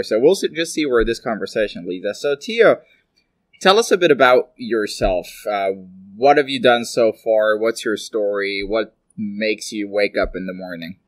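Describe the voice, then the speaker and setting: A man's voice: fairly low pitch, expressive, normal speed, dry recording. A young man hosting a podcast, chatting casually as he interviews his guest.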